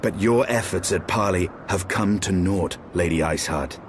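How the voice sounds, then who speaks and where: An actor playing a grim, proud, dragon-slaying knight in a fantasy game, stern, formal and measured. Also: male voice, deep voice, a little hiss or hum, close to an everyday voice. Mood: resolute. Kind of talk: archaic fantasy game cutscene acting.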